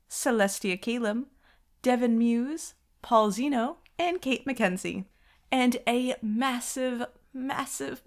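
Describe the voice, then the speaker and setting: A woman talks casually to her audience as a channel creator. Her delivery is excitable and emphatic, in an informal, rambling outro style. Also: fairly high pitch. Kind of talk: casual chatty outro to listeners.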